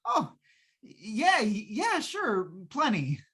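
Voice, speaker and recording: Woman playing a stammering, flustered husband, lively pitch. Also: drawn-out words, husky, full character voice. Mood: nervous, flustered. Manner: stammering, hesitant. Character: flustered henpecked working husband, a nervous repairman. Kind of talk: old-time radio comedy acting.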